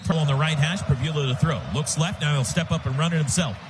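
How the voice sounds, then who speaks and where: An American man working as a radio play-by-play announcer, calling live football in clipped phrases and getting excitable on big plays. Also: fairly high pitch, quick pace. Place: stadium broadcast booth. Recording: clearly noisy live stadium radio broadcast.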